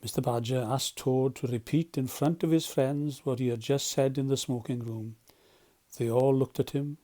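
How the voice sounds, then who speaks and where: Mellow male storybook narrator. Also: medium pitch, steady tone, normal speed. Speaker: volunteer storyteller. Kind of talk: expressive scripted storybook narration.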